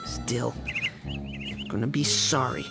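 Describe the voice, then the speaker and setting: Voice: mid-range voice, sing-song, slow, vocal fry, exaggerated voice. A performer voicing an odd, secretive boy in a cartoon video game, theatrical and cheerfully sinister. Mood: ominous. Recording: game music behind.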